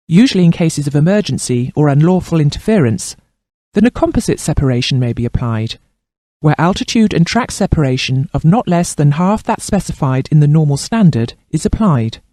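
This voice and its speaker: A man reading scripted instructional narration as a training narrator, in a formal, measured and precise manner. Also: on the high side, dark tone, no echo, clean studio sound.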